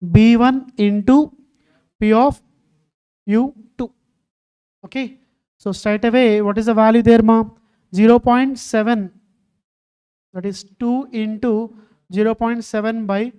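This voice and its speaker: Mellow-voiced woman teaching. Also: on the high side, clean tone. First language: Telugu. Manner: keeps quizzing students, calling them "ma". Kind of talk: online exam-prep lecture.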